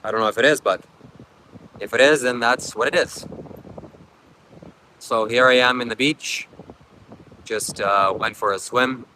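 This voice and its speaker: A man's voice: medium pitch, a little slow, pauses often, pushed and bright, low-quality recording. A man with a Ukrainian accent, English not his first language, chatting casually on a beach livestream and reading comments, coughing often and rambling reflectively. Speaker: livestream host. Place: outdoors at a beach. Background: faint wind.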